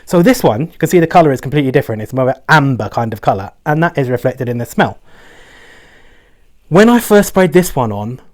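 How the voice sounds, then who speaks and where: A man talking casually on a fragrance review vlog, rambling along and often checking in with a quick "right?". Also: medium pitch, big pitch swings.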